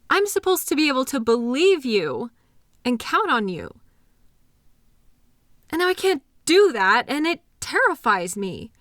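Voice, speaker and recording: Hurt, mistrustful girlfriend voiced by a woman for relationship audio drama: very lively intonation, close dry sound, anxious and accusing. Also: high voice, no echo, full character voice. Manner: emotional, accusatory, halting. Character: hurt, anxious girlfriend with trust issues, arguing with partner. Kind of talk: intimate roleplay audio drama.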